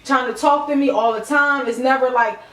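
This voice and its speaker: A woman's voice: sits high, a little fast, fairly bright tone, recorded from a distance. A young woman, chatting casually on a podcast in a blunt, slangy, emphatic way. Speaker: podcast co-host and music artist. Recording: roomy echo, faint background noise.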